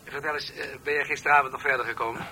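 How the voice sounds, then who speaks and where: A man's voice: medium pitch, fairly even intonation, racing, bright, forceful tone, a little hiss or hum, rough recording. Fatherly, grumbling older police commissioner. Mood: brisk, commanding. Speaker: actor in a radio play. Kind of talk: Dutch radio drama acting.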